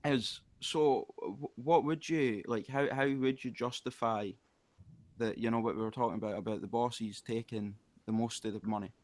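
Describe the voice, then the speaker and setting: Male debater, average pitch, argumentative, rambling, frequent restarts. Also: moderate pace, a little mellow, no room sound. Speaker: debate participant. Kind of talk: casual online debate.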